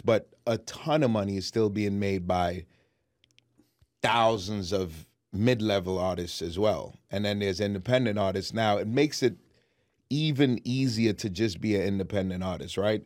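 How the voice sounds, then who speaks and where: Blunt low-voiced man on a podcast. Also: a little mellow, dry recording, clean studio sound. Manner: blunt, casual, swears freely. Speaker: podcast co-host. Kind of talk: casual podcast chat.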